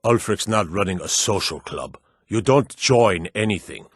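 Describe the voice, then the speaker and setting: An actor playing a gruff, proud Nordic warrior and guard in a fantasy video game, speaking in a firm, emphatic, declamatory way. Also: male voice, on the low side, expressive, unhurried, no room sound, studio quality. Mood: stern. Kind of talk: dramatic video game dialogue.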